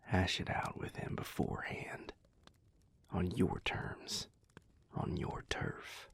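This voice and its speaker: A man voicing an easygoing cowboy vampire in an audio roleplay, blunt and steady, talking close to the mic. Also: slow pace, in a whisper, natural voice. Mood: frank. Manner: laid-back, frank, calming.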